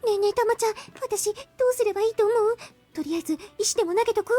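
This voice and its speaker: A cute schoolgirl from an anime visual novel. Her voice is tiny and very high, the delivery quick and emotional. Music plays behind her. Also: warm tone. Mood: cute, fretful. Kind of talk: Japanese visual novel voice acting. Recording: game audio with music behind.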